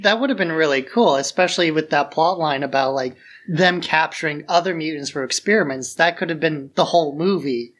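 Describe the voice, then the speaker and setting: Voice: mid-range voice, a little fast, fairly bright tone. A podcast co-host chatting casually about films in an informal discussion.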